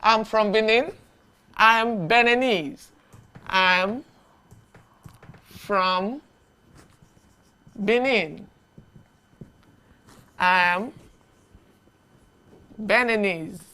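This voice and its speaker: Woman English teacher for beginners, unhurried, crisp diction, models phrases to repeat. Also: medium pitch, bright, forceful tone. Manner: slow, deliberate, repeats phrases for learners to echo. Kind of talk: beginner language lesson.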